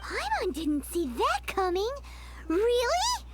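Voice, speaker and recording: A woman voicing a tiny floating fairy companion in a video game, squeaky, bouncy and curious. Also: wildly swooping pitch, takes it slowly, heavy background noise, full character voice. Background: game music.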